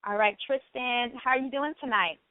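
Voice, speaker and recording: A woman's voice: on the high side, moderate pace, no room sound. A woman hosting and interviewing on an online radio talk show. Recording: thin phone-like line, dry.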